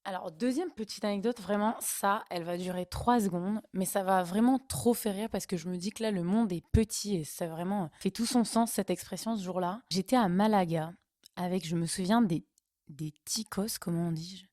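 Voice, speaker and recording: A woman's voice: mid-range voice, normal speed, a little mellow, dry recording, studio quality. A young woman from northern France, casually telling travel stories with chatty, laughing asides. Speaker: vlogger. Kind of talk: casual storytelling.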